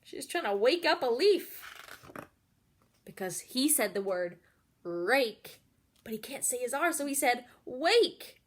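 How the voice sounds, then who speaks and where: A woman's voice: on the high side, lively intonation, a little slow, pauses often. A woman reading a picture book aloud expressively, with chatty asides addressed straight to young listeners. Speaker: storyteller reading to children.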